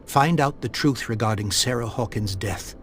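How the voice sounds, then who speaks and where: An actor playing a proud, grieving old industrialist in a period mystery game, speaking formally and earnestly. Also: male voice, medium pitch, moderate pace, fairly bright tone, faint music behind, close to an everyday voice. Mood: solemn, urgent. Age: older. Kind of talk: video game voice acting, period mystery drama. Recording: faint background noise.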